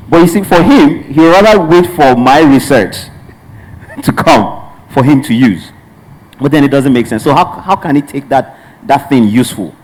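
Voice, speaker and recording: A man's voice: medium pitch, a little mellow, poor audio. A man from Nigeria speaking as a panelist at a tech conference, talking earnestly and at length with frequent restarts. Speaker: panelist, hub representative.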